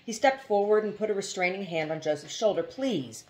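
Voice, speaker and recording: A woman's voice: mid-range voice, fast, rough recording. A woman narrating a novel as an audiobook reader, in a literary storytelling style.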